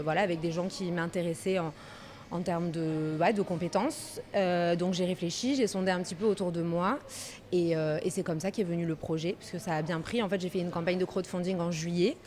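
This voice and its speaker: A woman's voice: fairly low pitch, a little mellow. A woman in her thirties from Marseille, a pastry workshop manager, talking easily and a little hesitantly in a casual interview. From Marseille, France. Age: 30s. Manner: easygoing, hesitant, thinks aloud.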